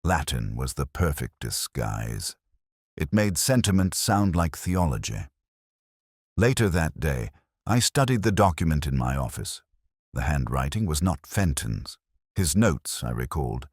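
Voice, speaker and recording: A man reading scripted first-person narration of a detective mystery in a measured, brooding, literary manner. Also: a bass voice, big pitch swings, breathy edge, no room sound, clean studio sound. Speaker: audio drama narrator.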